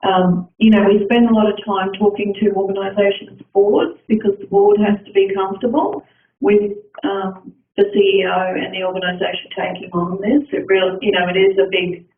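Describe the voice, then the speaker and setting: A woman presenting for a department explains things in a measured way during an online session, with frequent ums. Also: mid-range voice, fairly even intonation. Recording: tinny, echoey video call with faint hiss.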